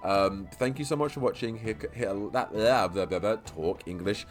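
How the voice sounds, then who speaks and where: A man with a low voice talks casually through his theories for a video, tripping over words and stuttering as he rambles along quickly. He restarts phrases and repeats himself as thoughts pile up. Faint music plays underneath. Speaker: YouTube lore creator. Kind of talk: casual game-lore vlog commentary.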